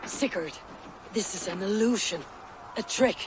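An actress playing a resolute, loyal Viking warrior woman in a video game, with a solemn, deliberate and firm delivery. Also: mid-range voice, a little hiss or hum, lightly acted. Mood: solemn, urging. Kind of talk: dramatic video game acting.

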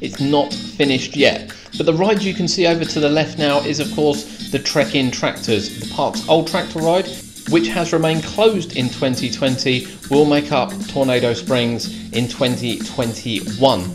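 A man's voice: medium pitch, measured pace. A British man giving a descriptive tour commentary as a theme park vlogger. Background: guitar music.

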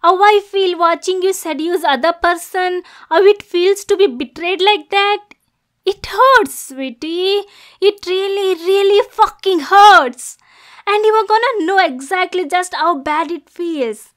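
Yandere girlfriend for ASMR roleplay, acted by a woman: high, unhurried, possessive, sweet-talking yet unstable. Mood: hurt, angry. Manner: cooing pet names, switching between soft menace and cursing. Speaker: ASMR voice actor.

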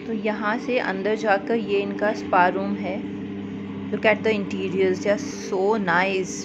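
Woman, 30s, relaxed vlog narrator, enthusiastic, slow delivery, lo-fi over music. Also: medium pitch, heavy background noise. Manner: chatty, enthusiastic tour-guide voice-over. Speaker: vlogger. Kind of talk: casual vlog narration, Hindi mixed with English.

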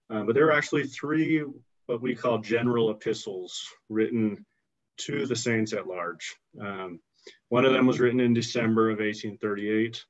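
A middle-aged man on a video call giving a measured explanation of history, pausing and restarting phrases. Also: lowish voice. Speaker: historian guest. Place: indoor office with bookshelves. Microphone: video call computer mic. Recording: dull lo-fi video call audio.

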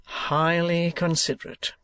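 Man playing a dry, measured gentleman traveller. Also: fairly high pitch, monotone, clean tone, dry recording. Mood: dryly amused. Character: polite, mildly amused, sceptical gentleman traveller.

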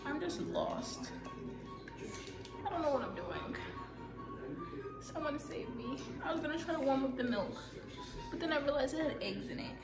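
A young woman talking casually to the camera while baking, unsure of herself and thinking out loud, in a home kitchen. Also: high voice, wildly swooping pitch, normal speed, long pauses. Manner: unsure, self-mocking, thinking aloud. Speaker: vlogger. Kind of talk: casual baking vlog narration. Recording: roomy kitchen echo with loud music. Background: pop music playing.

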